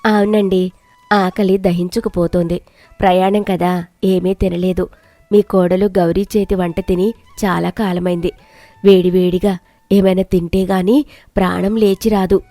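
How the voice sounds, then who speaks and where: A woman voicing a blunt, weary older mother-in-law from town in an animated storybook. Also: on the low side, unhurried, no room sound, soft background music.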